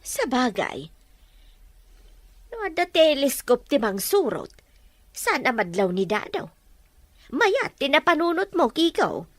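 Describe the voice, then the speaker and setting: A woman's voice: high voice, sing-song, takes it slowly, long pauses, no echo, heavily acted. A woman playing a plaintive, suspicious madam in an Ilocano radio drama. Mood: wary, approving. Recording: noisy recording with background ambience.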